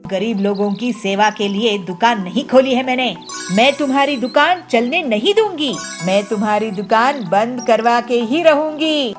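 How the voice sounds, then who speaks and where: A woman's voice: fairly high pitch, normal speed, soft background music, close to an everyday voice. A woman voicing an arrogant, greedy female crow who sells juice in a children's cartoon, scolding haughtily. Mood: angry, threatening. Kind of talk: children's cartoon story voice acting.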